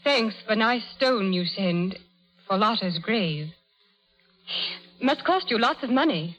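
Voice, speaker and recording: An actress reading out a simple, warm-hearted old lumberjack's letter in broken English, in a vintage radio drama. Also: female voice, medium pitch, animated, slow pace, lo-fi sound, soft background music. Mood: kindly. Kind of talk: 1930s radio drama acting.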